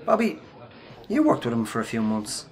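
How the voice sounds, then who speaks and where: A fair-minded workmate in a radio play. He has an average-pitched voice and a casual, plain-spoken way of chatting. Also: wildly swooping pitch, moderate pace. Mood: curious. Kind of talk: radio drama acting.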